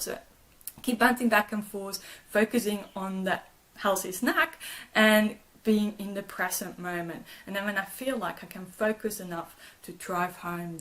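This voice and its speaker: Lady chatting on a live stream, soft and reassuring, keeps restarting her sentences, medium pitch. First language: German. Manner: gentle and reassuring, with frequent restarts and repeated words. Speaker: trauma therapist and channel host. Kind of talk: live-stream talk answering viewer comments.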